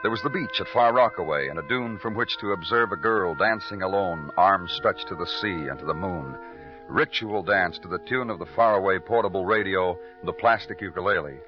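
A muffled old radio recording with music behind an actor as a brooding detective. His low male voice is measured and moody, in a world-weary, hard-boiled mid-century crime-drama style. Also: natural voice. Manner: measured, moody, poetic delivery. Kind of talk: 1940s-50s hard-boiled radio narration.

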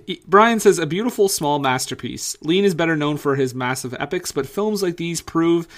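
A man with a fairly high voice co-hosts a casual comedy-leaning podcast. He keeps the segment moving, reading comments and tossing in playful, irreverent teasing. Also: expressive, moderate pace, a bit bright.

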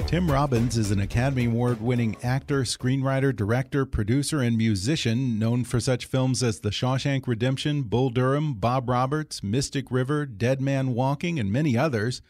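A man's voice: mid-range voice, moderate pace, few pauses, a little mellow, soft background music. A man working as a podcast host, reading a scripted introduction into a close microphone.

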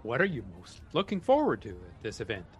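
A man's voice: medium pitch, wildly swooping pitch, fairly quick, husky, heavily acted. A man playing a curious, friendly roving reporter and host in a comedy sketch.

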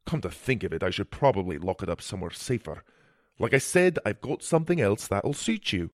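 A gruff, proud old wizard, played by a man who scoffs and talks bluntly. His low voice swings widely in pitch, and the recording is dry and close. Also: big pitch swings, brisk, no echo. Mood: brusque.

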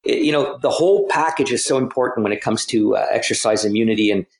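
A man in a podcast discussion about training science, talking haltingly with many restarts. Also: medium pitch. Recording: roomy echo.

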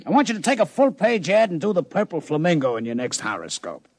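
An actor playing a brash, streetwise American casino owner who talks in slangy wisecracks, in old-time radio drama style. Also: male voice, a little high, very animated, fast talker, no echo. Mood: amused, brash.

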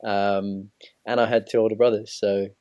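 A man's voice: fairly low pitch, flat delivery, moderate pace, mellow, no room sound, lo-fi sound. A man in his thirties from Zimbabwe, telling his story conversationally as an easygoing interview guest. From Zimbabwe, moved to England as a child. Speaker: podcast guest, ex-professional cricketer.

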